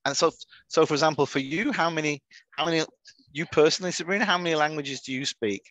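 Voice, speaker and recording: A man's voice: a little high, fast talker, a bit bright, no room sound, rough recording. A man hosting an online teachers' webinar in a friendly, chatty way, often restarting his sentences, heard over a video call. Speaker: webinar moderator.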